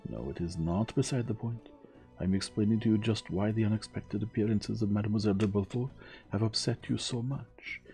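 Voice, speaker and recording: Male narrator doing a courteous, relentless Belgian detective, dark low tone. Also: faint music behind, close to an everyday voice. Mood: probing. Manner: measured, courteous, relentlessly probing. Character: shrewd elderly male Belgian detective, polite but probing.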